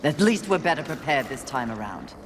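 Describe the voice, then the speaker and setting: A woman's voice: very deep voice, big pitch swings, brisk, background noise. A woman playing a fierce, proud and scornful warrior in a video game, with a haughty, threatening manner. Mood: dry sarcasm. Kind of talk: dramatic video game voice acting.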